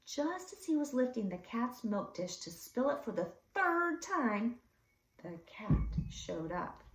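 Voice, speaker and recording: Female teacher read-aloud narrator, animated pitch, roomy and slightly distant recording. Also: slow. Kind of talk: expressive children's story narration. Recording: echoey room, slightly distant mic.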